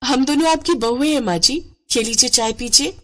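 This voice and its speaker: A woman's voice: brisk, bright, forceful tone. A woman voicing a demure, polite young village daughter-in-law in a cartoon story, respectful and naive. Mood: gentle respect. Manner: respectful, naive, higher than narration.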